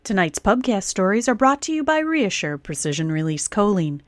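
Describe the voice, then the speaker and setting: A woman's voice: medium pitch, sing-song, normal speed, dry recording. A woman announcer reading a scripted sponsor advertisement.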